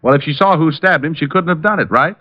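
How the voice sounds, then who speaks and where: An actor playing a flippant, self-assured private detective with a breezy, sardonic delivery in an old-time radio drama. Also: male voice, on the high side, fast, close to an everyday voice. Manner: breezy, sardonic, dry wit. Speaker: lead actor and narrator. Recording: dull vintage radio recording with music.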